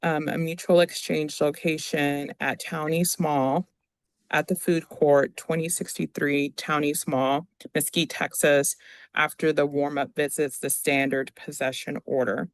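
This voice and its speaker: An attorney speaking formally in a courtroom, reading out requests and questioning a witness in a halting, procedural way. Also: medium pitch, level tone, no room sound, rough recording.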